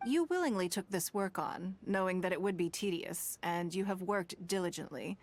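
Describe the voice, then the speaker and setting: An actress playing a poised, courteous woman leader in a video game, speaking formally with measured, eloquent phrasing and dry wit. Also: on the low side. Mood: gracious, relaxed. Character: poised, commanding, courteous wealthy woman leader, dryly teasing. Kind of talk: scripted video game dialogue. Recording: music under the voice.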